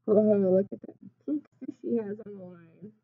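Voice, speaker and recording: A woman in her twenties reading a picture book aloud like a teacher, expressively, pausing to put simple questions and explanations to young children. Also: medium pitch, narrow, tinny audio. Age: 20s. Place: small classroom, indoors.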